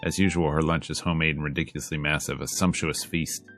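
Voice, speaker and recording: A man with a low voice reads story narration and dialogue aloud as a streamer would. His delivery is casual and slangy, like someone playing through a visual novel, with music playing faintly underneath. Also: flat delivery, quick pace, a bit bright. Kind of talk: casual let's play read-aloud.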